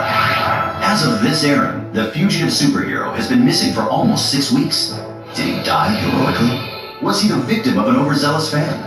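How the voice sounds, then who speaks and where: An actor playing a melodramatic tabloid TV documentary announcer, narrating with mock seriousness in a video game. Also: male voice, mid-range voice, natural voice. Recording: echoey, distant broadcast audio with music.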